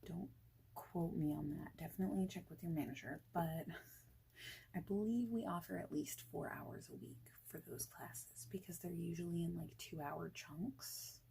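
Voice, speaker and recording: Soft breathy asmr lady, dark mellow voice, chatty and unhurried, bit of background noise. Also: lowish voice. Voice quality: soft, breathy, often dropping toward a whisper. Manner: informal, reassuring, rambling chat. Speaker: ASMR creator playing a friendly boss in a job interview roleplay. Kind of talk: ASMR roleplay, soft speaking with whispering, improvised.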